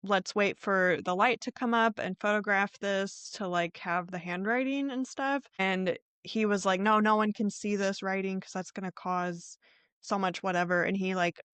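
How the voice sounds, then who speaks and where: A woman's voice: medium pitch, steady tone, normal speed, fairly bright tone, clear, resonant tone, dry recording. Casual woman podcast storyteller, close and dry. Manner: informal, conversational, hedging as she retells. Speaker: podcast host.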